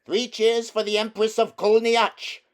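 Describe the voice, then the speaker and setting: A rowdy storybook street heckler, acted by a man, shouting at a high, strained pitch. The manner is jeering and loud. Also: flat delivery, a little slow. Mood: mocking. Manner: shouting, mocking. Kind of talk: storybook character reading.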